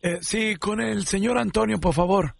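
Creaky high-pitched Mexican man on a prank call. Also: moderate pace, very noisy. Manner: mock-earnest, teasing persuasion. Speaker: radio show prank caller. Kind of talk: prank phone call, improvised.

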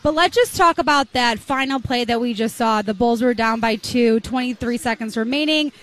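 A woman with a slightly raspy voice hosting a courtside postgame basketball recap in an upbeat, conversational way. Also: fairly high pitch, normal speed, fairly bright tone. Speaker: courtside sports reporter and host. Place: courtside at an arena.

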